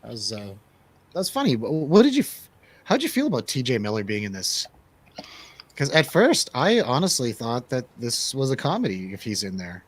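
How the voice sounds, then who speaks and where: A man with a middling pitch hosts a casual radio review segment. He talks in an informal, joking way. His delivery is unscripted banter, like chatting with friends. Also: huge pitch swings, moderate pace, breathy edge. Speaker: radio show host and DJ.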